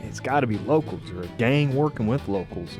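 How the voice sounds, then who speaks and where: Actor as a commanding sheriff over music. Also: male voice, mid-range voice, lively intonation, a little fast, a little mellow, lightly acted. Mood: grave, speculating. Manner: authoritative, urgent, folksy. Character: commanding county sheriff leading a posse in mining country. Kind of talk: radio drama acting.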